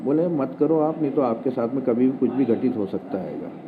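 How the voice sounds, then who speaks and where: A man's voice: mid-range voice, lively intonation, normal speed. Male interviewee recounting events, plain mid-range voice, tinny narrow sound with noticeable ambient noise. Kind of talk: spontaneous spoken account. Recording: muffled phone-like sound, loud background noise.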